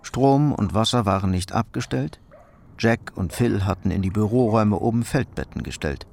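A man narrating a radio crime drama from a script in a sober, measured way. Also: on the low side, expressive, gravelly, darkish tone, slight background noise.

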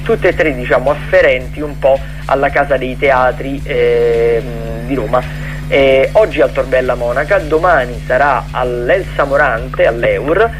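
A man's voice: medium pitch, a little slow. Man rambling through radio event listings, music behind. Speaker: radio co-host. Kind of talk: casual spoken event listings on a radio show.

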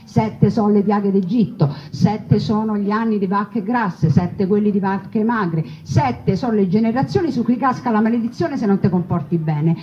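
A woman with a Roman Italian accent performing a comic storytelling monologue, wry, with emphatic repetition and dramatic pauses. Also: mid-range voice, mellow. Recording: dull live recording with background noise.

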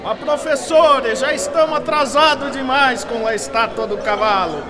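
A man's voice: very high-pitched, normal speed. A man playing an anxious artist's apprentice in a radio drama, urgent and protesting, with an Italian accent. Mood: worried protest. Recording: reverberant space with crowd behind.

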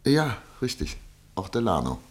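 A self-assured, eloquent judge in a radio drama. His voice is on the low side, and he speaks deliberately, like a practised courtroom orator with a pompous streak. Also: sing-song. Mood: genial, deliberate. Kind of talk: German radio play acting.

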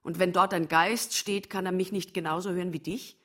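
An actress in a radio drama playing a bitter, proud, long-suffering wife, reproachful and theatrical. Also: female voice, fairly low pitch, fairly even intonation, a little fast, natural voice. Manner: reproachful, theatrical, emotional monologues. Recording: studio radio play over music.

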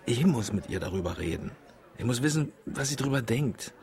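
An actor playing a gruff, troubled middle-aged father and boatman who likes his whisky, in a radio drama. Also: mid-range voice, expressive, fairly quick, a little mellow, lightly acted.

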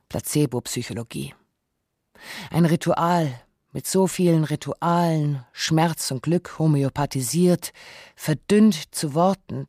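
A man playing an anguished, self-reproaching father who narrates a radio essay in deliberate, reflective phrases. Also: a little high, a little mellow, dry recording. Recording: dry studio with some music.

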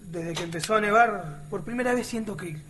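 Un actor argentino que interpreta a un miliciano obrero franco y sincero de radioteatro, con habla coloquial rioplatense llena de jerga. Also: male voice, high voice, brisk, fairly bright tone, a little hiss or hum. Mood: earnest, hopeful. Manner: colloquial, slangy. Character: earnest, outspoken working-class male resistance militiaman. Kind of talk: radio drama dialogue.

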